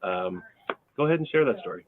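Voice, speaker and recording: A man with a fairly low voice hosts a casual interview. The audio is narrow and tinny, like a phone line. He speaks conversationally as he puts questions to a guest. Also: animated, fast, background noise. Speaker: host.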